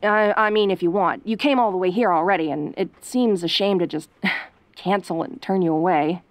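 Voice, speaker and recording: A woman playing a sassy, easily flustered young tomboy girlfriend, sarcastic and haltingly defensive, in an audio roleplay. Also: on the low side, dry recording, natural voice. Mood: shy, hesitant.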